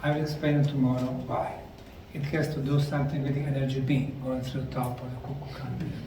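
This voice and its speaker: A man with a Bosnian accent giving a deliberate, emphatic lecture to a live audience. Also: medium pitch, flat delivery, warm tone. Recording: echoey room, mic far off, faint noise.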